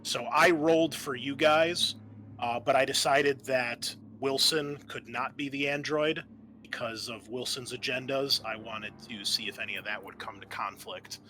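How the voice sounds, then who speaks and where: A man's voice: medium pitch, steady tone, a little slow, sharp tone, rough recording. A man acting as game master, casually explaining and reviewing a roleplaying session with his players. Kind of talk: casual game debrief, explaining rules and agendas. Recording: faint background noise.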